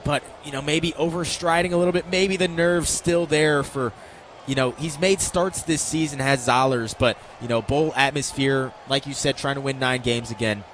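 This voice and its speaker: A man's voice: medium pitch, fairly even intonation, moderate pace, fairly bright tone. An American man giving conversational, explanatory football analysis as a radio colour commentator. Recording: live stadium ambience noise.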